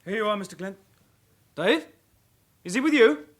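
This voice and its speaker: A man's voice: high voice, lively intonation, moderate pace, a bit bright. An actor playing an earnest, naive young male detective constable in a radio drama. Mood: firm. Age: young adult. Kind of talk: naturalistic radio drama acting.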